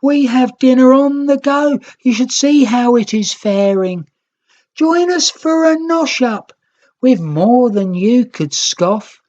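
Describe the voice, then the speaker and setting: A woman's voice: high voice, expressive, slow, mellow, dull audio, pitched above the performer's own voice. A woman voicing a cheerful, kindly young green nix creature in a children's storybook, eager to share. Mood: cheerful, coaxing.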